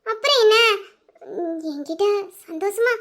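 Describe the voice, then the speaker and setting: A woman's voice: very high voice, lively intonation, measured pace, a bit bright, exaggerated voice. A woman playing a pleading young sweetheart. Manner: pleading, coaxing, breaking into tears. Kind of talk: audio drama acting.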